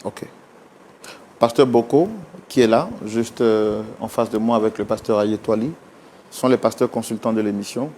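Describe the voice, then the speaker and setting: A man's voice: fairly low pitch, fairly even intonation, moderate pace, a little mellow, slight background noise. A man hosting a reconciliation talk show, probing his guests and pressing them for direct answers.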